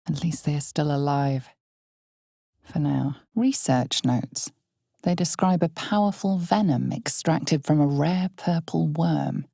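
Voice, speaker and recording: A woman voicing the grave, knowing narrator of a dark fantasy game, speaking deliberately in a husky, breathy tone with an ominous air. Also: low voice, no room sound, studio quality, full character voice. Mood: hushed, mysterious. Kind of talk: dramatic second-person fantasy game narration.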